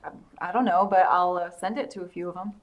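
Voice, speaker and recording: An actress playing an upbeat, savvy political press aide in an audio drama, talking in a casual but businesslike way. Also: female voice, on the low side, fairly even intonation, quick pace, dull audio, natural voice. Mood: encouraging. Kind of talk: radio theater acting, conversational dialogue.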